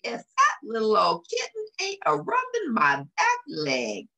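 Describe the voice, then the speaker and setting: A genteel old Southern gentleman of a hound, voiced by a woman reading a storybook. The pitch swoops widely and often lifts high, with folksy courtesy. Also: wildly swooping pitch, a little slow, creaky, full character voice. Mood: amazed.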